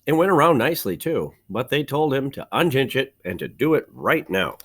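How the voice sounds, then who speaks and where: A man reading a children's storybook aloud like a teacher, with deliberate phrasing, dramatic pauses and emphasis. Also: mid-range voice, very animated, a bit bright, breathy edge. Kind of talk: expressive children's storybook narration.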